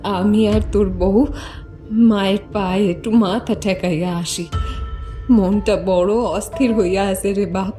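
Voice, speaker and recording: Woman acting an anxious, slow-speaking village mother. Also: medium pitch, steady tone, mellow, faint music behind, natural voice. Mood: anxious, tender. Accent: East Bengali dialect. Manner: slow, worried. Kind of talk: dramatised horror audio story acting.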